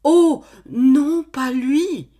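A woman voicing a frightened young farm servant girl who pleads and denies in a high, tearful voice. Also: very animated, moderate pace, a little mellow, husky. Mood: desperate.

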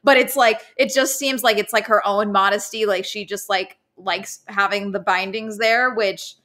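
A woman's voice: mid-range voice, fairly even intonation, moderate pace, fairly bright tone. A young woman guest on a podcast, chatting casually and with opinions about a book, with wry asides. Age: young adult. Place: indoors, living room with couch.

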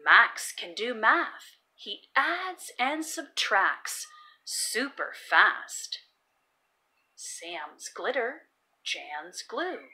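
A woman with a high, lively voice reads a children's picture book aloud. She takes it very slowly, stressing words clearly for young learners in an expressive storytelling style. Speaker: children's read-aloud host.

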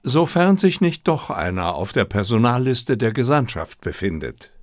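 A man voicing a calm, drily teasing detective's companion in an audiobook, understated and gently insistent. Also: mid-range voice, normal speed, dry recording, studio quality, lightly acted. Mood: calm, dry. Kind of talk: audiobook character reading.

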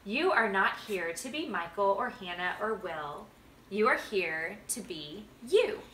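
A woman's voice: mid-range voice, sing-song, measured pace, pushed and bright, distant mic. A woman reading the part of a kindly, wise storybook grandfather, patient, encouraging and emphatic. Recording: roomy home recording with background noise.

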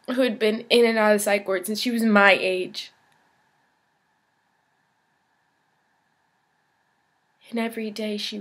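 A woman performing a dramatic monologue as a despairing young woman, speaking in a halting, emotional confession. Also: a little high. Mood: subdued sadness. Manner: halting, emotional, swinging from hushed to anguished. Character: despairing suicidal young woman baring her pain in confession.

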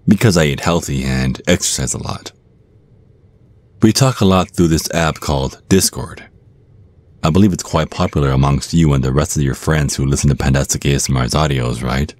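A man's voice: very deep voice, moderate pace, no room sound. A man voicing a suave, theatrical vampire stage magician, teasing and pompous, in an ASMR roleplay. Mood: smug, flirtatious.

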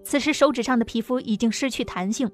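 A woman's voice: on the high side, quick pace. A woman narrating a novel as an audiobook, reading a dramatic script. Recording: music under the voice.

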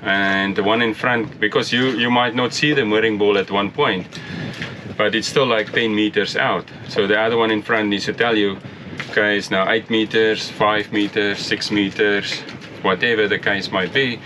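A man's voice: lowish voice, steady tone, normal speed, background noise. A middle-aged man on a catamaran deck by the sea, explaining in a plain, casual way how to sail in and catch a mooring ball. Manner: plain explaining, restarts phrases, chuckles now and then. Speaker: sailing vlogger. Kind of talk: casual instructional talk. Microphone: headset mic at first, then a camera mic up close.

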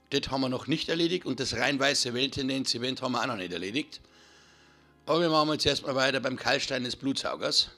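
A hoarse man, chatting casually to viewers while commentating his own gameplay. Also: medium pitch, pushed and bright. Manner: chatty, addresses viewers as friends. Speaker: gaming channel host. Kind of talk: casual let's-play commentary.

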